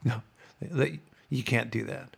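Middle-aged guy podcasting, stuffy nose, losing his voice, gravelly and sarcastic. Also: medium pitch, takes it slowly. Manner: sarcastic, mock-chanting opponents. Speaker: podcast host. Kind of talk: opinionated casual monologue.

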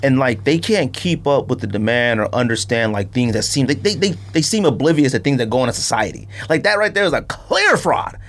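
A man's voice: mid-range voice, expressive, fairly quick, few pauses, fairly bright tone. A man in casual podcast conversation, slangy and emphatic, repeating himself to drive a point home. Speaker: sneaker podcast co-host.

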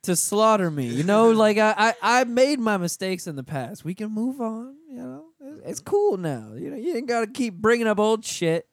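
A man's voice: sits high, sing-song, moderate pace, hardly any pauses, dry recording. A Puerto Rican man talks as a guest in a casual studio chat. He rambles and leans on "you know" as he goes. The sound is dry and close. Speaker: podcast guest. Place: small studio.

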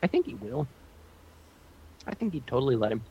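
A man's voice: medium pitch, big pitch swings, fairly quick, muffled recording, slight background noise. A man co-hosting a casual TV recap chat, joking with a playful show of indignation. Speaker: podcast co-host.

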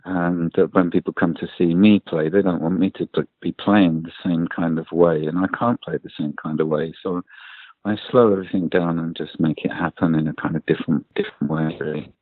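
A man with a low voice, answering interview questions casually and unhurriedly, with frequent ums. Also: fairly even intonation, a little fast, no room sound. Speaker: musician guest. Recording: dry, tinny phone line.

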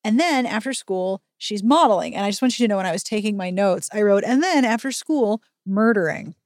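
A woman hosting a chatty book recap podcast, animated and full of wry asides. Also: medium pitch, brisk, no echo, studio quality. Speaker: podcast host.

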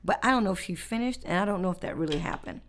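A woman's voice: medium pitch, lively intonation, rapid-fire, a little hiss or hum. A woman hosting a casual podcast, reading out facts in a chatty, conversational way. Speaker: podcast host. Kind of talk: casual chat.

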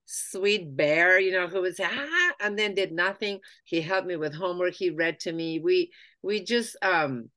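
A woman's voice: fairly low pitch, unhurried, bright, forceful tone. A woman in her fifties from Argentina, speaking, chatting as a guest about her life story with lots of restarts and fillers. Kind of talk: conversational life-story interview.

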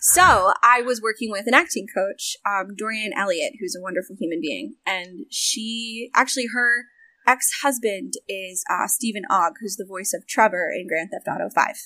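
A young American woman in a casual interview, talking animatedly about voice acting and game development, with frequent laughs and quick asides. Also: medium pitch, pushed and bright, no echo, rough recording.

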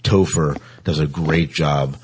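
A man's voice: a bass voice, steady tone, takes it slowly, a little hiss or hum. A man hosting a podcast in casual, conversational chat, putting questions to guests and sharing opinions. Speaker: podcast host.

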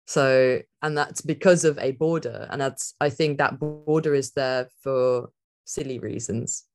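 A woman's voice: very deep voice, a little slow, darkish tone. A woman speaking as a podcast guest, thinking aloud in a rambling, reflective way, with many "like" fillers and frequent laughter. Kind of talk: reflective conversational interview.

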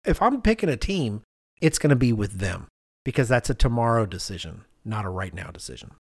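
A man's voice: fairly low pitch, sing-song, a little fast, creaky, no echo, studio quality. A middle-aged man co-hosting a podcast, telling stories in a casual, conversational way and acting out what people said. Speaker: podcast co-host.

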